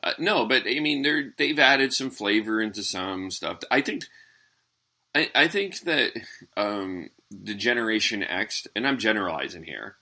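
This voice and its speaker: Host: a man in his forties with a low, gravelly, husky voice, hesitant and hedging. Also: lively intonation, unhurried, bright, forceful tone. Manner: hesitant, restarts and hedges. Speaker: podcast host. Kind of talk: casual interview chat.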